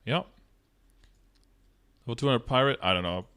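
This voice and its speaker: A man's voice: medium pitch, moderate pace, fairly bright tone, clean tone. Man casually explaining on a live stream. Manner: explanatory, step by step. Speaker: game streamer. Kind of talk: casual live-stream tutorial, answering chat questions. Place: indoor room, streaming setup.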